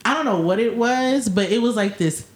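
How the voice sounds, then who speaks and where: A woman's voice: fairly high pitch, lively intonation, moderate pace, reverberant. A woman hosting a podcast who is congested from a cold. She chats casually, laughs often and talks in slang. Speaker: podcast host.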